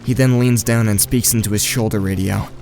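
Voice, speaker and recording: A man playing a watchful, tentative teenage boy, giving measured first-person narration in an audio drama. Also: fairly low pitch, steady tone, vocal fry, a little mellow, soft background music. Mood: tense.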